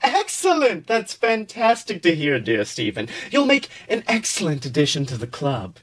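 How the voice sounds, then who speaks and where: Give the voice of a suave, smirking host club leader, acted out by a man reading fan fiction. He is a young male charmer with a high voice, speaking smoothly and theatrically with dramatic pauses. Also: huge pitch swings, a little hiss or hum. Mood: delighted.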